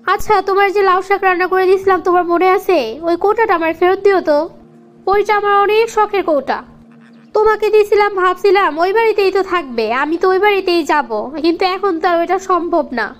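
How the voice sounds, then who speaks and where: A woman's voice: extremely high pitch, fast. A woman with a Bangladeshi accent voicing a reproachful, sulky young girlfriend in a cartoon comedy. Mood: petty, insistent. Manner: reproachful, nagging.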